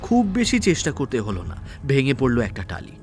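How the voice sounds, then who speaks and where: A man's voice: mid-range voice, wildly swooping pitch, fairly quick. A man reading scripted dramatic narration for an audio story. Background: faint background music.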